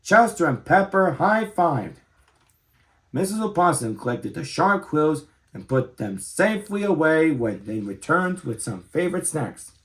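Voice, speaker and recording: A man in his thirties reads a children's story aloud. His voice sits slightly high and moves in very lively rises and falls, as an expressive storyteller's does. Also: a little slow. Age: 30s.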